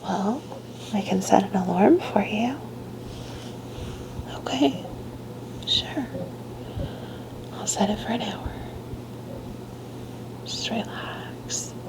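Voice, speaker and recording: Slow, breathy whispering woman, motherly ASMR. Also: medium pitch, rough recording. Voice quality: breathy, mostly unvoiced whisper. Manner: soothing, motherly, tender, with repeated reassurances. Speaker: ASMR performer playing a caring mother. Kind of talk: whispered ASMR roleplay of comforting affirmations. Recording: soft music clearly behind the whisper. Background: music.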